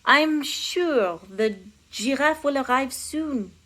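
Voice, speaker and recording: A woman's voice: fairly high pitch, sing-song, measured pace, fairly bright tone, heavily acted. A woman voicing a worried, polite royal counselor from a storybook, careful and deferential, with an attempted French accent. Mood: nervous, deferential.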